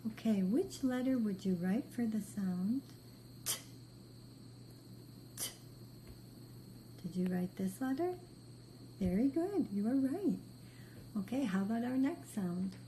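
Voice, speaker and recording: A woman with a very mellow, dark tone leads a beginner phonics lesson at a slow pace. She gives short, patient instructions and repeats her praise often. There is some faint noise in the recording. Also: a little high, expressive, poor audio. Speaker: reading teacher.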